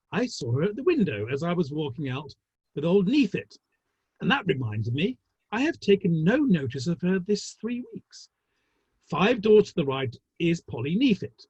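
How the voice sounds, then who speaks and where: A man as a high, husky Victorian theatre manager. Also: darkish tone, full character voice. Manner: dry, considered, tactfully critical. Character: measured, businesslike Victorian theatre manager, male. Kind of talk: dramatic play reading. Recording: dull, roomy online-call audio.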